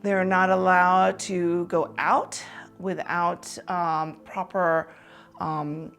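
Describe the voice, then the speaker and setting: A woman raised in Taiwan, an optometrist, giving an earnest prepared talk with frequent pauses and restarts. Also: on the low side, little pitch movement, fairly bright tone, faint music behind. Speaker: optometrist speaking on women's empowerment.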